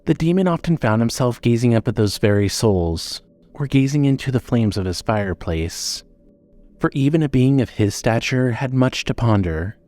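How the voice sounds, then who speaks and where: A man narrating a scripted horror audio drama in a measured, dramatic storytelling manner. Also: medium pitch, lively intonation, a little mellow, soft background music.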